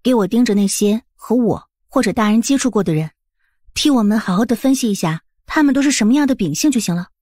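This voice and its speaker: A confident young lady of the house, played by a woman in an audiobook drama. Her voice is fairly high and recorded dry, with a composed, curious, gently teasing way of talking. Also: lively intonation, quick pace, no echo. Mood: composed, intrigued. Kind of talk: Chinese audiobook drama acting.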